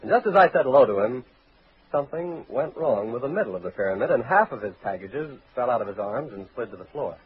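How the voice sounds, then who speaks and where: A man's voice: medium pitch, lively intonation, fast, slight background noise. An American man reading a sponsor message as a 1940s radio announcer, in a storytelling style. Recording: tinny 1940s radio recording.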